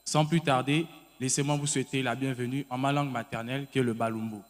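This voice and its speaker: A young man from Gabon, giving a measured, formal welcome as a student. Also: medium pitch, fairly even intonation. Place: hotel ceremony hall. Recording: echoey hall.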